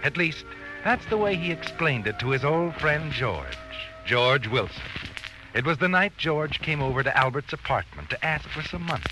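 A man playing an ominous, taunting, all-knowing narrator of dark tales in a 1940s radio mystery. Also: fairly high pitch, big pitch swings, moderate pace, poor audio. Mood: wry, dark. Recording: old radio recording with music.

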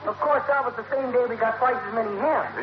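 An actor playing a guileless, chatty American chicken farmer with a folksy manner in a 1940s radio comedy. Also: male voice, extremely high pitch, a little fast. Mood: cheerfully oblivious. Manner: folksy, oblivious, chuckling. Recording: muffled, noisy old radio recording.

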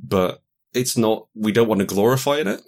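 A man with a low voice talks casually about role-playing games as a guest designer. He often doubles back on his phrases, hesitating as he finds the wording. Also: animated, normal speed, a little mellow.

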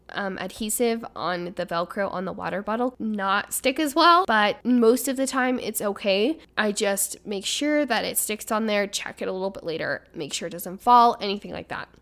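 A woman's voice: medium pitch, a bit bright. A woman hosting a casual podcast, chatty and upbeat, her voice a little stuffy as she gets over the flu. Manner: chatty and upbeat, rambling storyteller. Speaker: podcast host.

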